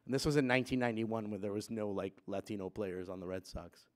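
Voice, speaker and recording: A man in his fifties from Puerto Rico speaks into a gooseneck mic at a chapel lectern. His voice sits fairly low, and he talks in a loose, conversational way with plenty of fillers. He builds to emphatic bursts. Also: fast talker, a little mellow. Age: 50s. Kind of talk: personal talk to students. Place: wood-panelled school chapel, students seated behind.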